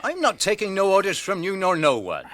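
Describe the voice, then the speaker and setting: An actor with a rural English accent playing a gruff, defiant old country hobbit in a radio drama, blunt and stubborn. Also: male voice, sits high, wildly swooping pitch, fairly quick, fairly bright tone, slight background noise, full character voice. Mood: defiant, suspicious.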